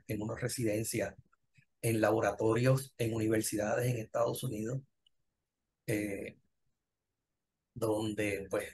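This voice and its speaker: A middle-aged man with a Puerto Rican accent, a professor speaking conversationally as an interview guest about his own working life. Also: medium pitch. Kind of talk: conversational personal career account.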